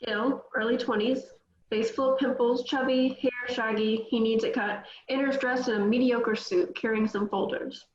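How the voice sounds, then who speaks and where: A woman's voice: a little high, steady tone, moderate pace, slight background noise. A woman reading scripted stage directions as narrator at a table read, in roomy, slightly dull audio.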